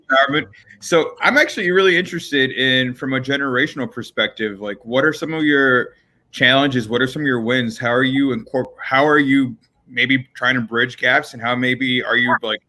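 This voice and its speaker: A man's voice: mid-range voice, moderate pace, sharp tone. A man co-hosting a casual talk show, asking curious, probing questions. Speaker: co-host. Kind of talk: casual interview chat.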